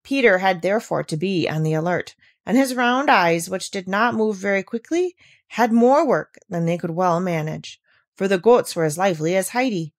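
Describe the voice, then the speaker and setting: A woman's voice: mid-range voice, dry recording, studio quality. Woman reading a storybook aloud. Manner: measured, expressive reading. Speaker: reader of a children's classic.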